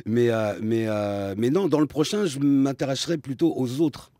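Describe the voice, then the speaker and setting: A man's voice: medium pitch, normal speed, no echo. A man with a Parisian accent, a comedian guest chatting casually and jokingly in a radio interview. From France. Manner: chatty, lively, joking, frequent restarts.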